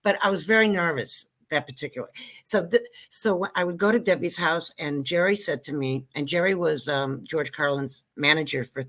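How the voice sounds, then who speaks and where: An older woman from Israel, a Hebrew native speaker, speaks as a guest comic on a casual show. Her voice sits low but comes across bright and crisp. She rambles, cuts herself off, and jokes her way through the story. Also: moderate pace. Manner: rambling, self-interrupting, joking storyteller. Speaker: guest comedian. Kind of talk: casual interview chat.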